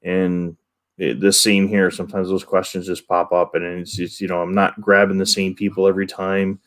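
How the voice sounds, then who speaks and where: A man co-hosting a live show, addressing viewers in halting, rambling talk full of stammered restarts. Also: sits low, level tone. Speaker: co-host reading chat questions.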